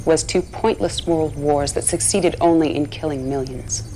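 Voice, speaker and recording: A devout female propagandist played by an actress delivers a righteous address for a militant order in a game cutscene. Her voice sits fairly low and moves in solemn, measured phrases, with faint noise behind it. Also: fairly even intonation, dark tone, lightly acted, poor audio. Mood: aggrieved, solemn.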